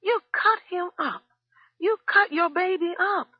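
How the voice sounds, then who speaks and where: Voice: very animated, moderate pace, husky, no echo, dull audio. A reader voicing a furious middle-aged woman cook who screams accusations and then breaks down crying, in an audiobook. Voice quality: shrill, screaming. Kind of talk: audiobook character voice.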